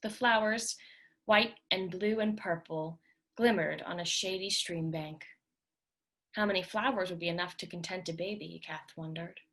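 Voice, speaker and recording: Low-pitched woman reading literary fiction. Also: moderate pace, fairly bright tone. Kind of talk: dramatic literary reading, scripted narration.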